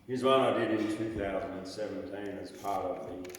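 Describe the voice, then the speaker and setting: A man with a croaky voice, hosting an open mic and reciting his own poetry in a dramatic, chanting way with sudden loud rises. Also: fairly low pitch, brisk, a little hiss or hum. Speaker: open mic host and poet. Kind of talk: performance poetry reading. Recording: echoey hall, mic far off.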